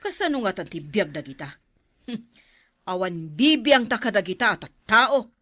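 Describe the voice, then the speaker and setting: A woman's voice: medium pitch, sing-song, moderate pace, a bit bright, dry recording. An actress playing a heartless rich woman, disdainful and indignant, in a radio drama.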